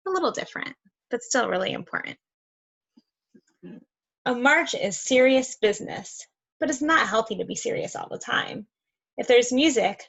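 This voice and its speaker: A woman reading a picture book aloud to young children, expressive and encouraging, with conversational asides. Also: mid-range voice, vocal fry. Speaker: read-aloud host for children.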